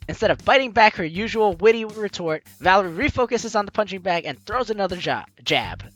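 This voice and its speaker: A woman's voice: medium pitch, expressive, fairly quick, faint music behind. A woman hosting a gaming video, reading game text aloud with playful, theatrical asides and casual commentary.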